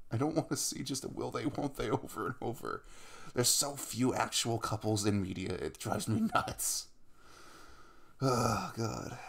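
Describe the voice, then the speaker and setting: A man's voice: a little high, creaky, darkish tone, full character voice. A man acting an affectionate, earnest, chatty young crush in an intimate ASMR roleplay, rambling playfully in a casual way. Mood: mock exasperation, then playfully frustrated.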